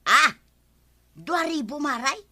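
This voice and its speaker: A woman's voice: high-pitched, expressive, slow pace, pushed and bright, dry recording, heavily acted. A woman with an Ilocano accent playing a whiny, grumbling village wife tired of farm work in a radio comedy drama. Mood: bargaining.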